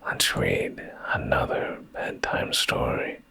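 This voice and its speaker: A man in an intimate boyfriend ASMR bedtime style, with a deep, gravelly, breathy voice, hushed and soothing, often slipping into whispers close to the microphone. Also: slow. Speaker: ASMR streamer reading bedtime stories.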